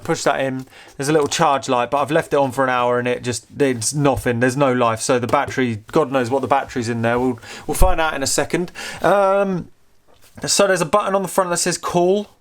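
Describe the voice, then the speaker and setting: A man giving casual, unscripted commentary as a host showing a gadget, rambling and halting with repeated words, curious and easily amused. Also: a little high. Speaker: host of a vintage technology channel.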